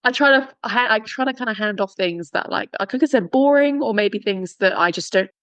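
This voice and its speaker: Fast-talking woman podcast host. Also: medium pitch, a bit bright, no echo, lo-fi sound. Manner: chatty, laughs often, quick restarts. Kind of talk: casual podcast conversation.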